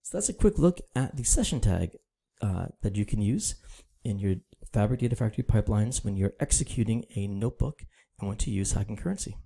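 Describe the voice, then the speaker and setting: A man presenting a casual screen-recorded software tutorial, walking through steps in a steady, explanatory way. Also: lowish voice, brisk, vocal fry, husky. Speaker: product demo presenter.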